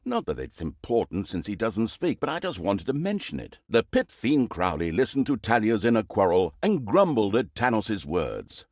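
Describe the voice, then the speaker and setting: An actor playing a sardonic, theatrical storyteller, narrating a comic fantasy video game in a wry, mock-grand way. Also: male voice, lowish voice, sing-song, normal speed, hardly any pauses, no room sound, studio quality. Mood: dramatic, amused.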